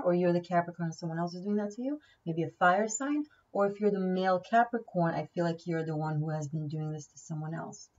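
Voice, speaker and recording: A woman's voice: on the low side, a little mellow, distant mic, dull audio. A woman whose first language is Hebrew, giving a casual tarot reading, chatty and thinking aloud, often repeating key words. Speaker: tarot reader.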